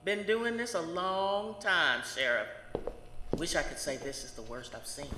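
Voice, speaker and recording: A woman playing a world-weary, brooding psychic who hears the dead in an audio horror drama, speaking intensely and confidingly with dramatic pauses. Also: on the low side, bright, forceful tone, in a large hall, a little hiss or hum. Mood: dry, unfazed.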